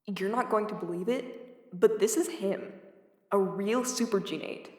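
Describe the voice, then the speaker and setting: A woman's voice: medium pitch, measured pace, a little mellow, husky. A woman playing a friendly, chatty mercenary company recruiter in an audio drama, with a casual, affectionate manner. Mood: earnest. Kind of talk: cinematic audio roleplay acting.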